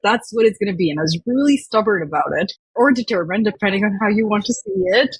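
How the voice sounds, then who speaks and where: A young woman from Dubai with a very husky, breathy voice talks as a podcast guest. She tells her story in a chatty, reflective way at an average pitch. The sound is close and dry. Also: quick pace, no room sound, poor audio. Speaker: guest, company founder. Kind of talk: podcast interview.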